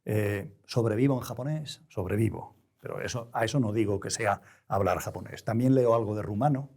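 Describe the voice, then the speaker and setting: Interview guest: older male, European Spanish accent, dark relaxed timbre, deliberate, erudite, searching for the exact word. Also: lowish voice, creaky. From Spain. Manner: deliberate, halting, with erudite careful word choice. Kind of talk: reflective interview conversation.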